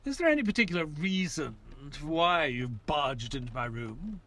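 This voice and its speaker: A man's voice: a little high, animated, moderate pace, fairly bright tone. An actor playing a reserved former army man who is companion to a detective and narrator of an audio play. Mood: defensive.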